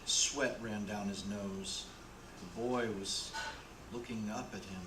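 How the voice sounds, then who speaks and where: A man's voice: lowish voice, steady tone, slow pace. A man narrating a radio play in a dramatic storytelling style. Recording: roomy with clear background noise.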